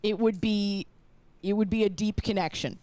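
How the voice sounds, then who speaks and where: A woman's voice: medium pitch, moderate pace, dark tone, no echo. A woman in her thirties co-hosting a podcast with casual comedic banter and playful, mock-serious teasing. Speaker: podcast co-host.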